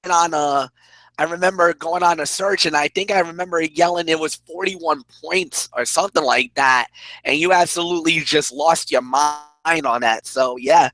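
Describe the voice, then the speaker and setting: A man with a hoarse, raspy voice, co-hosting casual sports talk and rambling excitedly with restarts. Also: a little high, moderate pace, no room sound, poor audio. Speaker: co-host.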